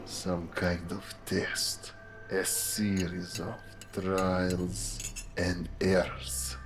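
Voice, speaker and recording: A man playing a coolly sinister detective in a noir audio drama, speaking in a deliberate, insinuating way. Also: deep voice, completely flat delivery, music in the background, heavily acted. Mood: coolly menacing. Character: menacing male detective, slow, coolly sinister.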